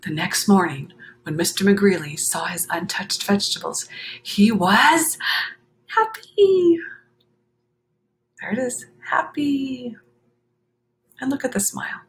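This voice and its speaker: A woman reading a children's picture book aloud expressively, with dramatic drawn-out phrasing and chatty asides. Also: mid-range voice, expressive. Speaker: children's story reader.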